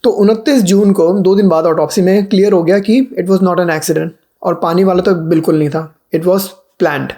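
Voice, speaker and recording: Indian guy doing youtube news commentary, soft mellow voice, mixes languages mid sentence, chatty host style. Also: high-pitched, fairly even intonation, normal speed, few pauses. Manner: code-switches between Hindi and English. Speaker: vlogger, channel host. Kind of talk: casual news commentary to camera.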